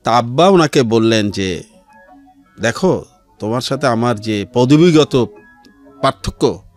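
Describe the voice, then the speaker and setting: A middle-aged man with a Bangladeshi accent telling his life story as an interview guest, in a measured way with pauses and some English words mixed in. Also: mid-range voice, animated. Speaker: interview guest, civil servant. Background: background music.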